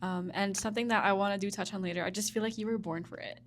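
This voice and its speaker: A woman's voice: mid-range voice, steady tone, fast, slight background noise. Encouraging woman podcast host. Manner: encouraging, warmly affirming with many "mm-hmm"s. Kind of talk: casual interview.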